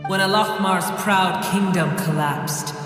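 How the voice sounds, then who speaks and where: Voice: mid-range voice, fairly even intonation, measured pace, lightly acted. An actor playing an ancient, proud dragon in a video game, speaking in a grandiose, formal, scornful and solemn way. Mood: bitter, grave. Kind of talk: dramatic video game dialogue. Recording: echoey cavernous reverb over music.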